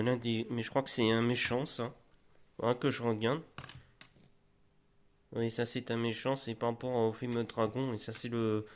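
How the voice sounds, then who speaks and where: A man in a mid-pitched voice rambles through a casual show-and-tell of flea-market toys. He's a little stuffed up from a cold. He stops and restarts his sentences often as he goes. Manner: rambling, halting, many restarts. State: slightly congested from a cold. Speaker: collector vlogger.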